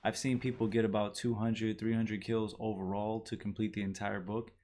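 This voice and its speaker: A man's voice: fairly low pitch, flat delivery, moderate pace, darkish tone. A man hosting a gaming video, giving casual, explanatory guide commentary straight to his viewers. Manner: explanatory, addresses viewers directly.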